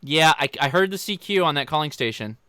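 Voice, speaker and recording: Male American livestream host, crisp and bright delivery, informal and chatty, speaking straight to viewers. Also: fairly high pitch, lively intonation, brisk, no echo, clean studio sound. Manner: informal, joking, addresses viewers directly. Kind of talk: casual livestream chat, answering viewer questions.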